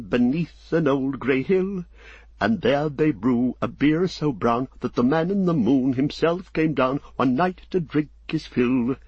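A man's voice: a little high, husky, no echo, highs cut off, pitched above the performer's own voice. A man voicing a polite young male hobbit addressing a crowd in a formal, declamatory storybook manner. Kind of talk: audiobook character acting.

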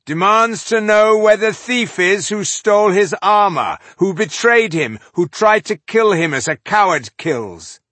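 A gigantic warrior monster declaims in a slow, booming, menacing proclamation. The voice is pushed high and loud with a rough edge, as in a fantasy audiobook. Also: male voice, animated, dry recording, full character voice.

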